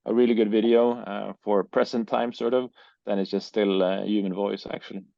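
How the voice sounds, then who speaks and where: A man answers interview questions in a low, gravelly voice. He hesitates often, filling gaps with uh and um and repeating words. The recording is dry and slightly muffled. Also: steady tone, moderate pace, dark tone, no room sound. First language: Swedish. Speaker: guest, business owner. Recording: dry, dull lo-fi sound.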